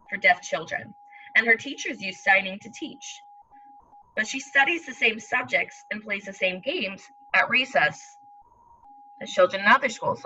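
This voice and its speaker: A woman's voice: mid-range voice, bright, forceful tone, lo-fi sound, a little hiss or hum. A woman teacher leading a read-aloud lesson for young children, explaining step by step and speaking directly to them. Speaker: elementary school teacher.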